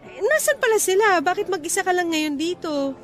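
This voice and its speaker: An actress playing a cheerful, sociable office woman meeting her high school sweetheart in a Filipino radio drama, with a Filipino accent. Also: big pitch swings, a little fast, soft background music. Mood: chatty, relaxed.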